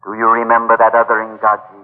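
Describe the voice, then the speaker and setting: An actor playing the mysterious spirit of the jungle in a 1940s radio horror play, solemn, hypnotic and incantatory. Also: male voice, mid-range voice, completely flat delivery, close to an everyday voice. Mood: ominous, summoning. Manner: slow, incantatory, portentous. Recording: old lo-fi radio broadcast, long reverb.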